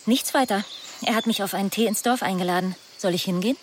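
A woman's voice: mid-range voice, fast, slight background noise, close to an everyday voice. An actress playing a smitten, easily swayed jilted woman in a radio drama, confiding and unsure of herself. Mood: unsure, pleading.

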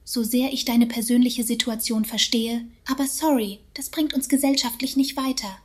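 A woman acting a chatty artificial voice in a radio play. She is slightly high-pitched, sweetly over-understanding and preachy, with casual therapy-speak. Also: steady tone, normal speed, lightly acted. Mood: patronising. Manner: soothing, over-understanding, patronising. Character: chummy female synthetic AI voice, sweetly preachy.

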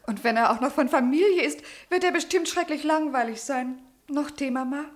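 Radio drama: light-hearted young lady played by a woman, highish voice, sweet and teasing. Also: a little fast, natural voice. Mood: light, demurring. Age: young adult. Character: sweetly teasing, frivolous young aristocratic daughter. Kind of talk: German radio drama acting.

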